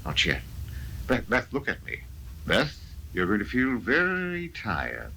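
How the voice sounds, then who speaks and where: An actor plays an intense doctor who hypnotizes patients in a seventies radio drama. His medium-pitched voice is forceful, insistent and very animated in its inflection. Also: slow pace, vocal fry, slightly rough, heavily acted, poor audio. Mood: hypnotic, soothing. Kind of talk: 1970s live radio drama acting.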